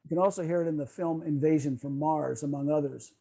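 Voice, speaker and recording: Male club presenter on a video call, soft dark tone, raspy, measured semi-scripted delivery. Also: on the high side, fairly even intonation. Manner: measured, explanatory, stumbles while reading. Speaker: club presenter on old-time radio.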